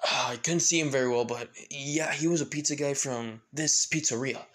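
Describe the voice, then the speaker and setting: A man's voice: medium pitch, normal speed, a bit bright, lightly acted. A man voicing an earnest, anxious young male hero in a story, in a plain, exclamatory way.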